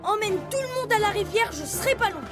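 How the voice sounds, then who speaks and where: A woman's voice: very high-pitched, lively intonation, fast talker. A woman voicing a brave, earnest young animal hero in a children's cartoon. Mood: determined. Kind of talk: animated series dub. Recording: music under the voice.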